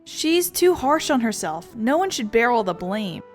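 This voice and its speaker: High-voiced woman game streamer. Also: very animated, unhurried, music in the background.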